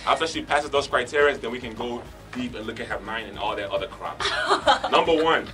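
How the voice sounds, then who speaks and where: A man's voice: mid-range voice, fast talker, sharp tone. A man from Africa, co-hosting a radio talk show and telling boastful, joking stories while laughing at his own lines. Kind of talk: casual talk radio banter. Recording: slightly distant mic, faint music behind.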